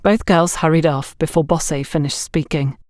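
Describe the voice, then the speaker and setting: A woman's voice: sits low, fairly even intonation, normal speed, darkish tone, no echo, clean studio sound. A woman reading scripted mystery fiction as an audiobook narrator.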